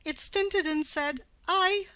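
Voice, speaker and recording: A woman voicing a garrulous, fond old nurse in a dramatic play reading, rambling and jumping up loud on the lines she quotes. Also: extremely high pitch, slow, dry recording, pitched above the performer's own voice, heavily acted. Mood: laughing garrulous. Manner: chattering, swooping up loud on quoted exclamations.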